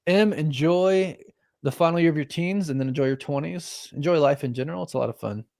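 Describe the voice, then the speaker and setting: A man's voice: on the high side, fairly quick, gravelly, darkish tone. Chatty man in his thirties joking about himself. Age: 30s. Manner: chatty, laughs often, jokes about himself. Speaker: host. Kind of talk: casual live watch-party chat.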